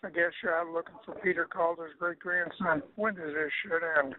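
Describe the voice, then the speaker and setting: A man's voice: on the high side, steady tone, fairly quick. An older man leaving casual, sardonic voicemail messages, wisecracking and grumbling over a telephone. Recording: tinny phone-line voicemail recording.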